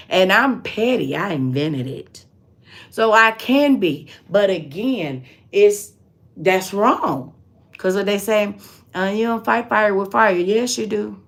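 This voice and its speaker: A raspy-voiced woman hosting a live stream, talking bluntly and preachily. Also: fairly low pitch. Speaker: livestream host.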